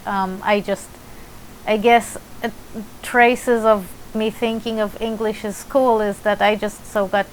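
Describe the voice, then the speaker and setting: A woman's voice: medium pitch, slight background noise, low-quality recording. A young woman with an Israeli accent, talking to camera in a casual vlog, full of hesitations and restarts. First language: Russian. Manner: hesitant, many fillers and restarts, light laughter. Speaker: vlogger.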